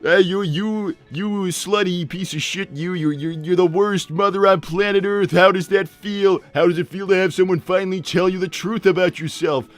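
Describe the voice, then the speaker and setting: A man voicing a brash, abusive cassowary talk-show host with a loud, confrontational, melodramatic delivery. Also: sits high, fairly even intonation, moderate pace, few pauses, soft background music, close to an everyday voice. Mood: scornful, dramatic.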